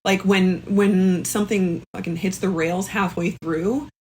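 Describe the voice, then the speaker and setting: A woman's voice: medium pitch, steady tone, unhurried, a little mellow, a little hiss or hum. A woman talking as a podcast guest in casual conversation, speaking informally with plenty of likes and the odd swear word. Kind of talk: casual chat.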